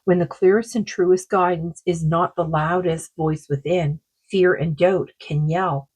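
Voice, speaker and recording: A woman with a sore throat giving a rambling, casual oracle card reading, full of fillers and slow read-aloud passages. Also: lowish voice, fairly even intonation, darkish tone, slightly rough, rough recording. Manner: rambling, many fillers, reads card texts aloud slowly. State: sore throat, losing her voice.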